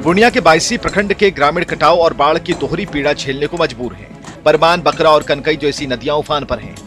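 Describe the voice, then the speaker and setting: A man giving scripted news narration in a formal, measured way. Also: on the high side, over music. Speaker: news reporter.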